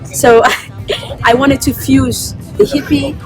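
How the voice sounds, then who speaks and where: A woman from Copenhagen, Denmark, speaking as a singer in a casual, expressive festival interview. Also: sits high, takes it slowly, over music. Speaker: singer and rapper being interviewed.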